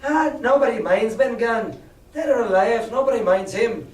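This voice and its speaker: A man voicing a superstitious, excitable pirate crewman who talks loud in sailor's slang, in an echoey room. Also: sits high, moderate pace, pitched above the performer's own voice. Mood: scornful. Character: superstitious rough pirate crewman, easily swayed. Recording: echoey room, far from mic.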